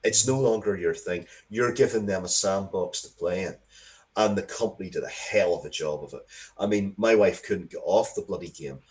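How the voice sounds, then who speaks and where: A man's voice: sits low, normal speed. A middle-aged man talking casually as an interview guest, rambling and swearing freely as he tells stories with enthusiasm. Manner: sweary, rambling, animated storytelling. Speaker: interview guest, game and comics creator.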